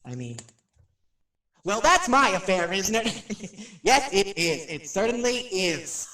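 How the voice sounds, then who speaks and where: Nervous radio personality, higher-pitched, jittery stammer, rambling chatter, radio drama, roomy echo. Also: male voice, wildly swooping pitch, slow, creaky, pushed and bright, slight background noise, exaggerated voice. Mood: forced cheer, rambling. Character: nervous chatty male radio personality, rattled in haunted house. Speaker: radio host. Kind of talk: radio drama acting, rambling live monologue. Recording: roomy, distant microphone.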